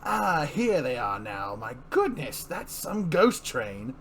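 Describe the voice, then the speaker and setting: A man's voice: fairly high pitch, sing-song, slow pace, fairly bright tone, a little hiss or hum, heavily acted. A man voicing a mocking, cheerfully cruel villain clown with sardonic, theatrical delivery in a comic-book reading.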